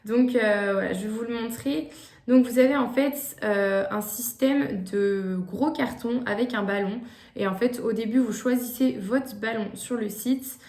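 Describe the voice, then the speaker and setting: A young woman chats through gift ideas, stumbling over fillers and restarting her sentences. Her voice sits a little high. The room around her is echoey. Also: unhurried, a little mellow. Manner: hesitant, many "euh" fillers and restarts. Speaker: vlogger presenting gift ideas. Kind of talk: casual chat to camera.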